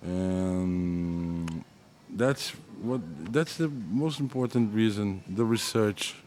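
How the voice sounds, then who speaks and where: A man with a Brazilian accent, a musician answering interview questions in halting English with many restarts, his first language being Portuguese. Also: lowish voice, a little mellow, slight background noise. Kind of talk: casual interview.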